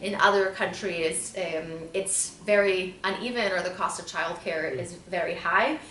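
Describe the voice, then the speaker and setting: A woman's voice: lowish voice, measured pace, pushed and bright, slight background noise. A woman in her thirties from Southern California, answering interview questions in a conversational, explanatory way with frequent asides. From born in Bulgaria, grew up in Southern California. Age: 30s. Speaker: interview guest. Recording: roomy echo, distant mic, faint music.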